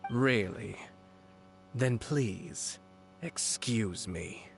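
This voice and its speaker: An actor playing a composed, calculating young nobleman in a video game, speaking in a measured, formal, eloquent way. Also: on the low side, gravelly, a little hiss or hum, exaggerated voice. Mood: stern, polite. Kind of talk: scripted game dialogue. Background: game music.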